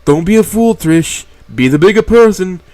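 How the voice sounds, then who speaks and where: A man voicing a jolly, blustering male Tyrannosaurus boss with a New York accent, loud and dismissive, in a read-aloud story. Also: high-pitched, expressive, normal speed, voice pushed up. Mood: stern. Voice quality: loud, bellowing. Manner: casual, dismissive. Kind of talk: reading a fan story aloud.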